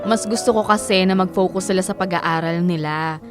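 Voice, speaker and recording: A woman's voice: lowish voice, fairly quick, natural voice. Woman acting weary young breadwinner over music. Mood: weary, resigned. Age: 30s. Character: overworked, self-sacrificing breadwinner daughter, thirty-three, patient but weary. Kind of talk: Filipino audio drama dialogue. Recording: music under the voice.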